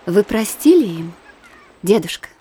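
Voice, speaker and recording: An actress playing an earnest, polite young married granddaughter in an audio drama of a literary story, gently pleading and protesting. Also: female voice, mid-range voice, very animated, a little slow, slightly rough, exaggerated voice. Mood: gentle, curious. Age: young adult.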